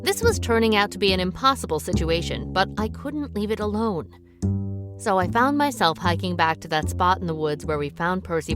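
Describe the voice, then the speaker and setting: A woman's voice: fairly low pitch, close to an everyday voice. An actress playing a worried amateur detective who narrates her own story in a radio drama. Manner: earnest, wry first-person narration. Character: worried amateur detective woman, diner owner.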